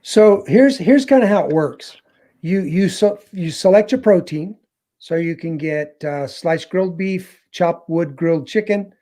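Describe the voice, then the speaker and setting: A man with a fairly high but mellow voice walks his listeners through a menu step by step. He is eager and often restarts his sentences. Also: unhurried. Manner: enthusiastic, explanatory, restarts often. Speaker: travel advisor. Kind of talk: casual panel chat, explaining.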